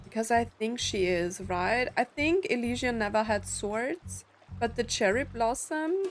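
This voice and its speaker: A woman's voice: a little high, animated, a little slow, soft background music. A woman giving casual, chatty commentary on a game she is playing, thinking aloud as she goes. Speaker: gaming streamer.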